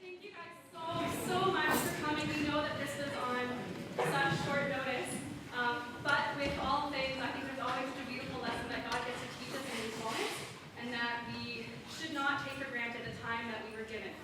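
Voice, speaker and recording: In a reverberant, noisy hall, a woman addresses an audience as a school drama director. Her slightly high voice is caught far from the microphone as she gives a speech of thanks. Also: fairly even intonation, moderate pace. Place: school stage. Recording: echoey hall, distant mic, noisy.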